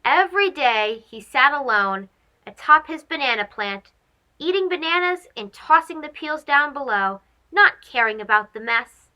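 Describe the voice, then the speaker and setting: A woman's voice: high voice, normal speed, rough recording. A woman hosting a children's read-aloud, narrating a storybook expressively and speaking directly to young listeners. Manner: animated, addressing young children.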